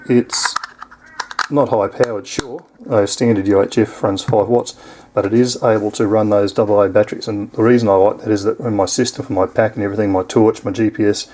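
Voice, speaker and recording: A man's voice: on the low side, dark tone, a little hiss or hum. A man with an Australian accent giving a casual, unscripted product demonstration in an easygoing, explanatory way. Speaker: product reviewer.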